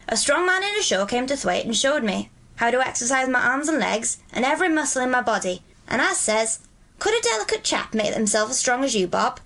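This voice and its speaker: A woman's voice: high voice, sing-song, fairly quick, creaky, fairly bright tone, voice pushed up, heavily acted. A woman voicing a cheerful, friendly Yorkshire country boy who speaks in broad rustic dialect. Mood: earnest.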